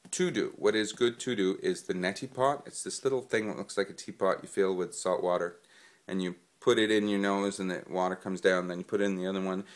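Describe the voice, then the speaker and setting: A man with a head cold, stuffed up, giving casual singing tips and rambling with plenty of ums. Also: fairly low pitch. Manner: rambling with many fillers. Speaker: singing vlogger. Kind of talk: casual spoken tips to camera.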